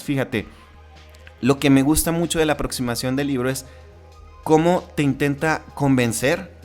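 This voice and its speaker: A man with a Mexican accent hosting a solo podcast in a casual, conversational way, with frequent restarts and tag questions. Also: medium pitch. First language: Spanish. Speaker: podcast host.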